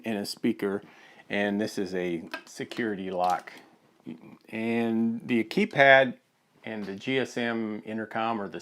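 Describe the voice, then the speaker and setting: An American man in his fifties giving a plain, halting instructional product demonstration on a lapel mic in a studio. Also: sits low, a bit bright. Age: 50s.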